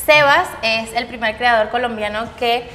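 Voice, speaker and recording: A woman's voice: a little high, moderate pace, a bit bright. A young woman hosting a casual podcast interview, speaking expressively with exclaimed reactions. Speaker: podcast host. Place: small indoor podcast studio. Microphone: close boom-arm microphone. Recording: echoey room with noise.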